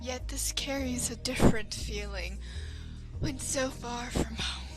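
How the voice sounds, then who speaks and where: A woman's voice: on the high side, unhurried, over loud music, low-quality recording. A woman reading visual novel narration aloud as a co-reader on a let's play.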